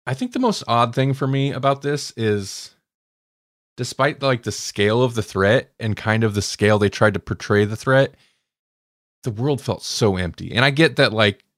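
A man's voice: mid-range voice, moderate pace, dry recording, studio quality. A man co-hosting a podcast, talking through stories in an informal, conversational way as if thinking aloud. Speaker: podcast co-host. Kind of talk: casual discussion and recap of audio dramas.

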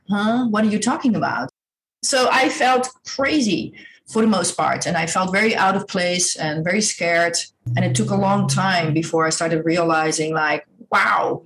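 A woman's voice: fairly low pitch, fairly bright tone, low-quality recording. A woman who grew up in the Netherlands, a guest on an interview show, talking in an earnest, searching way. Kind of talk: casual interview chat.

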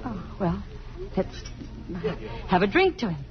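A woman's voice: mid-range voice, sing-song, slow pace. An actress playing a poised, affectionate lady with a dryly teasing manner in a 1950s radio romance. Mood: tender, reluctant. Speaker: radio actor. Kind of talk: 1950s radio drama acting. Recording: muffled, noisy vintage radio broadcast.

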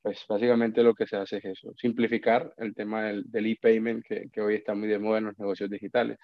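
A man's voice: lowish voice, flat delivery, a little fast, no room sound, highs cut off. A man from Colombia with a Colombian Spanish accent, chatting as a podcast guest in a reflective way, often restarting and repeating words. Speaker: guest, account manager. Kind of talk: casual podcast conversation.

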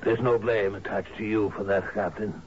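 An actor in a radio drama playing a grieving, reserved houseguest who speaks in a solemn, terse, polite way. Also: male voice, on the low side, fairly even intonation, moderate pace, close to an everyday voice. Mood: grave, subdued. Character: grave, grieving, reserved male houseguest on island. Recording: muffled, hissy old radio broadcast.

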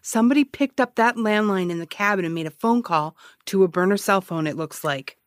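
A woman's voice: medium pitch, a little fast, no room sound, natural voice. Actress as an intense woman detective, close dry sound. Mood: intent, cryptic. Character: intense, persuasive woman ex-cop private detective. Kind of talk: naturalistic scripted audio drama dialogue.

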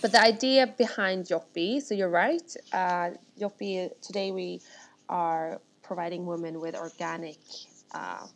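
A woman's voice: on the low side, fairly even intonation, a little slow. A woman from Sweden, a startup founder chatting as an interview guest, rambling with many restarts. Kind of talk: casual interview chat.

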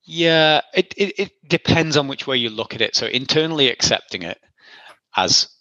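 Bright, dry-sounding man in his forties. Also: mid-range voice, animated, normal speed, no room sound, highs cut off. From Isle of Man. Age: 40s. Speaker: guest. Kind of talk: casual interview answers.

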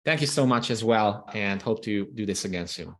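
A man's voice: on the low side, moderate pace, a bit bright. A man from Transylvania whose first language is Hungarian, chatting as a podcast guest, often restarting and repeating words as he tells stories. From Transylvania, Romania. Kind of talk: casual interview talk.

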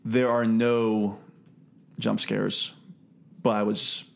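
A man hosting a casual podcast review, talking haltingly and repeating words as he thinks. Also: fairly low pitch, flat delivery, creaky. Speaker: podcast host. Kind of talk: casual film review discussion.

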